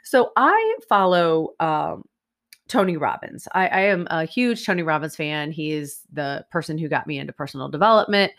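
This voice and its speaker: A woman's voice: low-pitched, expressive, normal speed, fairly bright tone. A woman hosting a casual solo podcast, chatty and rambling, her voice stuffy and nasal from a sinus infection. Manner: chatty, rambling, many fillers. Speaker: podcast host. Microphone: new USB microphone.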